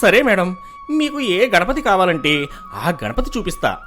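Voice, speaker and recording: A woman's voice: medium pitch, big pitch swings, measured pace, a bit bright, soft background music. Woman voicing an eager, swooping idol seller man. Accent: Telangana Telugu. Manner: eager salesman patter. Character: eager, chatty male clay-idol seller. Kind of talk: animated comic story dialogue.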